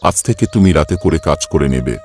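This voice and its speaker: A man playing a patronising but concerned employer in a cartoon story, talking in a measured, authoritative way. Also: a bass voice, animated, darkish tone, a little hiss or hum. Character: male employer, businessman boss, concerned and patronising. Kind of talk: Bengali cartoon story voice acting.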